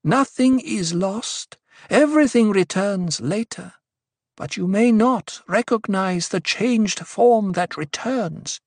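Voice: medium pitch, expressive, takes it slowly, dry recording, studio quality, pitched above the performer's own voice. A narrator voicing a fierce young prophetess in an audiobook, commanding and intoning cryptic pronouncements to a crowd. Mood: solemn. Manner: commanding, ritual intoning that turns sharp.

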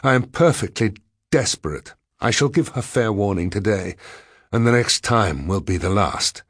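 Man acting a desperate, tormented professor, husky. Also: on the low side, lively intonation, normal speed, no room sound. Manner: impassioned, melodramatic.

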